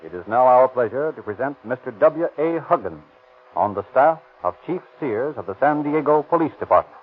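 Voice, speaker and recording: A man announcing and narrating a 1930s radio crime show, reading ad copy in a smooth, formal, persuasive way. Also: mid-range voice, expressive, moderate pace, faint music behind. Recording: tinny 1930s radio broadcast.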